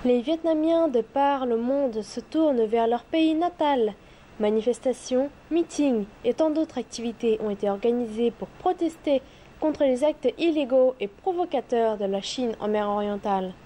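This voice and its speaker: A woman anchoring a news broadcast, reading her script slowly and deliberately. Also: a little high, mellow. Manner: slow deliberate read.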